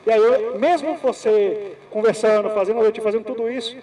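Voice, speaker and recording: High-pitched man debating in an assembly. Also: steady tone, normal speed, mellow. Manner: repeats words, circling argument. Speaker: association member in debate.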